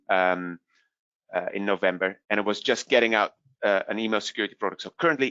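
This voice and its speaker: A man's voice: sits low, pushed and bright, dry recording, highs cut off. A man from the Netherlands with a Dutch accent, answering webinar questions with many fillers and restarts. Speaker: vendor product specialist.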